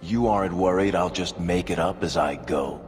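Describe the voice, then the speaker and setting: A man's voice: deep voice, moderate pace, darkish tone, close to an everyday voice. An actor playing a wry male dwarf who tells a story under questioning, dry and sardonic. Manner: dry, sardonic, laughs things off. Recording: game music behind.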